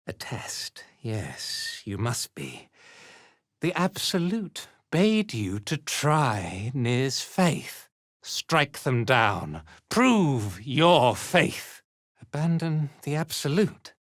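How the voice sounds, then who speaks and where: A man playing a haughty, zealous dark elf cult commander in a video game, with an imperious, contemptuous and theatrical delivery. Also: medium pitch, big pitch swings, very slow, dry recording, studio quality, heavily acted. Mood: zealous, menacing. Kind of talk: scripted video game character acting.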